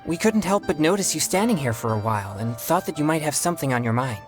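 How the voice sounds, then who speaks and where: A man's voice: a little high, expressive, lightly acted. Earnest young exorcist played by a man, music behind. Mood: reassuring. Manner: polite, formal, hesitant pauses. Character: earnest young male exorcist, excitable yet self-controlled. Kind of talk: video game voice acting.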